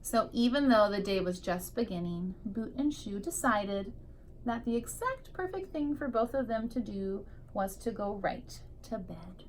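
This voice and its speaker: A woman's voice: mid-range voice, expressive, unhurried, slight background noise, low-quality recording. A woman teacher reading a picture book aloud expressively to young children, drawing out key words for emphasis.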